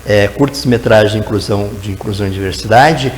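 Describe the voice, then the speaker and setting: A man's voice: on the low side, quick pace, echoey room, long reverb tail, a little hiss or hum. A man, a guest educator answering in a TV interview, explaining at length and tagging his sentences with "né?". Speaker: guest educator in teacher training.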